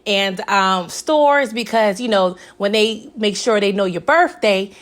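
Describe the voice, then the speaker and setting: A young woman with a raspy, hoarse voice, chatting casually through a TV episode recap and often asking "okay?". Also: mid-range voice, expressive, a little slow. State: hoarse from recent illness. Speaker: TV recap vlogger.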